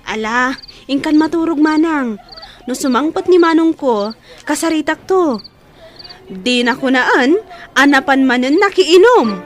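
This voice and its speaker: A woman's voice: high-pitched, animated, unhurried, pauses often. A woman playing a concerned, indignant young sister-in-law in an audio drama.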